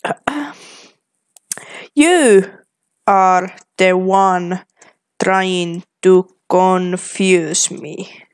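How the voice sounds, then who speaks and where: Slow-speaking young woman. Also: lowish voice. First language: Finnish. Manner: slow, deliberate, reading typed replies aloud. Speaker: vlogger. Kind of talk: casual commentary reading chat aloud.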